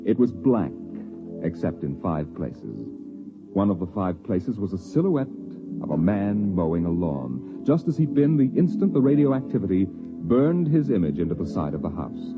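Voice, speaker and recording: A man delivers grave, theatrical narration for a vintage radio drama. His medium-pitched voice rises and falls widely over deliberate phrases. The old recording is muffled, with music clearly underneath. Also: very animated.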